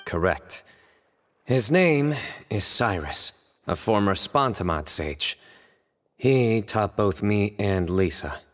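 A man voicing a stern, composed young investigator in a video game, speaking in a measured, serious, deliberate way. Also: on the low side, animated. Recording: long hall reverb, music behind.

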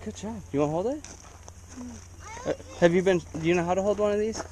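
A man's voice: high-pitched, quick pace, a little mellow, slight background noise. An American man chatting casually with children in an encouraging, instructing way while filming at a fishing event. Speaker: fishing club volunteer filming a kids' tournament. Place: outdoors by a pond. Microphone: action camera worn or held by the speaker. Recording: outdoor action camera with crowd chatter.

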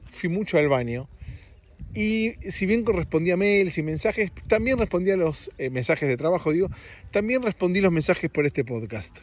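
Casting: Argentine male podcast host, middle-aged, fairly high slightly raspy voice, loose joking monologue, faint music. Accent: Argentine Spanish. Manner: self-deprecating, joking. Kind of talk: casual rambling solo podcast monologue. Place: outdoors on a street.

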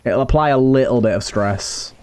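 A man's voice: medium pitch, normal speed, a little mellow, clean tone. A man giving casual commentary as he plays a game, thinking aloud in an understated way. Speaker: gaming video host.

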